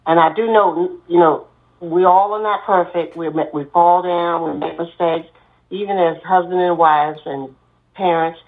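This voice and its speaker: A woman with a fairly low voice gives earnest, steady advice as a podcast guest. She sounds tinny, coming through a phone line. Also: a little slow. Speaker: guest, mother and church deacon.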